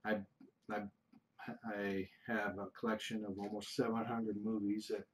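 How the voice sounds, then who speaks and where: A middle-aged man from the United States answering viewer questions on a casual livestream, rambling and halting with frequent restarts. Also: fairly low pitch, level tone, slight background noise. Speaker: kitten foster livestreamer.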